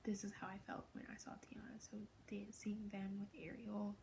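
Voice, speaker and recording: Teen girl fan vlogger, soft half-whisper, gravelly fry, flat gushing delivery, noisy recording. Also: mid-range voice, moderate pace. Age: late teens. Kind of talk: casual fan vlog.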